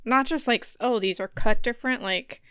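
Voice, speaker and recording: A woman's voice: fairly high pitch, normal speed, sharp tone, clear, resonant tone, no echo, clean studio sound. A woman chatting casually and informally as a podcast co-host, easily amused. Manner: laughs readily. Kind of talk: casual chat.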